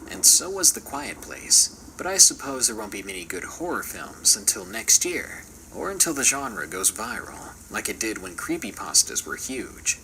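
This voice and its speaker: A man's voice: medium pitch, bright, forceful tone, slight background noise, poor audio. A man doing scripted, theatrical narration for a horror channel in a playful, dramatic manner.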